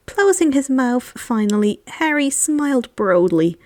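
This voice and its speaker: A woman reading fan fiction aloud as an expressive audiobook narration, close to the microphone. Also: high-pitched, measured pace, dry recording.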